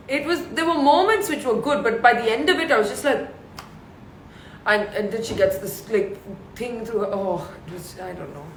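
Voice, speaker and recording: A woman in her thirties with a deep voice, chatting casually with fans on a live stream and reading out their questions. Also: expressive, normal speed, pauses often, echoey room, a little hiss or hum, poor audio. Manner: chatty, laughs often, reads out questions.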